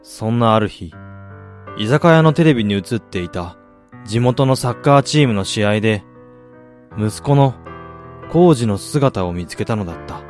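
An actor playing a broken middle-aged father in a radio drama, heavy and introspective, halting with remorse. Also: fairly low pitch, expressive, a little mellow, faint music behind, natural voice. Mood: reflective. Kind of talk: radio drama narration and acting.

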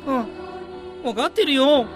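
A woman voicing a shy, nervous schoolboy in a radio drama, with a halting, hesitant delivery. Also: high voice, lively intonation. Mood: anxious. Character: shy, nervous schoolboy frozen before an audience. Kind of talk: radio drama child acting. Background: music.

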